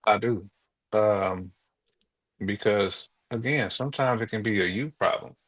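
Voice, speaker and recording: A man's voice: fairly low pitch, unhurried, a bit bright. A man co-hosting a talk show, chatting casually in colloquial, down-home phrasing. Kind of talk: casual conversational chat.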